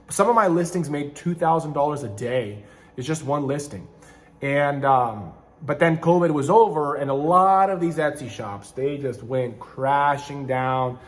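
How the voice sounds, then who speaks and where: A man from Ukraine talks straight to camera, loose and conversational. His voice sits a little higher than most men's. He rambles and often stops to fix his words mid-sentence. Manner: rambling, self-correcting, conversational with frequent restarts. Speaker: channel host, online seller and manufacturer. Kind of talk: casual talking-to-camera vlog.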